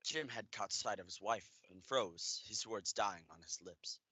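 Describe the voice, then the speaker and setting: A man's voice: lowish voice, measured pace, bright, forceful tone, slightly rough, no room sound, heavily acted. A young man playing an earnest storyteller who narrates a tender radio play.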